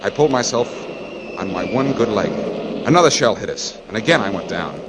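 A man playing a war correspondent who gravely recounts a battle in dramatic first-person radio narration. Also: lowish voice, very animated, a little fast, heavy background noise. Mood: grave, tense.

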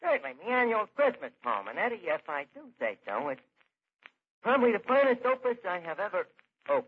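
An actor playing a wisecracking, blustering New York tavern manager in an old-time radio comedy, with slangy, hesitant patter. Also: male voice, sits high, wildly swooping pitch, a little fast. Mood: self-important. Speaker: comedy show lead. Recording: dull, noisy old radio recording.

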